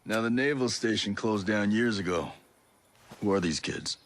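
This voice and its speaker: An actor playing a steady, helpful special forces soldier with a husky voice in a video game. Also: male voice, lowish voice, moderate pace. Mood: steady, reassuring. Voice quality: husky, gravel-edged. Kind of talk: video game cutscene acting.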